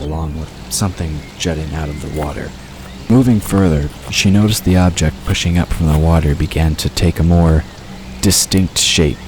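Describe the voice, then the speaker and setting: A man reading scripted fiction as the narrator of an audio drama. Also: extremely low pitch. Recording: clear ambient noise under voice.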